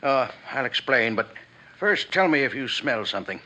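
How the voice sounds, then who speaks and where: A man playing an anxious, earnest head of a detective agency in a 1950s radio drama, speaking precisely and deliberately. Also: medium pitch, very animated, bright, forceful tone. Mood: grave, earnest. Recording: dull old radio recording.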